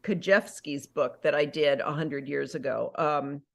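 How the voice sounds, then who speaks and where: Chatty middle-aged woman, lowish voice. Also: unhurried, creaky. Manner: chatty, animated, full of "like" and self-corrections. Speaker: actress and audiobook narrator. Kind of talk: casual interview chat.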